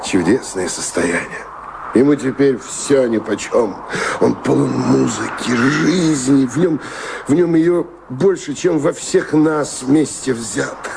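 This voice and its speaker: An actor playing a wistful, philosophical doctor who speaks in a musing, unhurried way in an audio drama. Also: male voice, medium pitch, vocal fry, background noise. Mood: wistful admiration. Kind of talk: theatrical audio drama acting.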